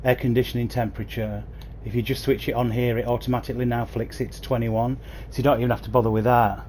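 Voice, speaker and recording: A man's voice: medium pitch, little pitch movement, fast, darkish tone, a little hiss or hum. Chatty man streaming a game. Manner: chatty, enthusiastic, talks to viewers by name. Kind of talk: casual livestream commentary. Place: at home playing on a console. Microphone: new gaming headset.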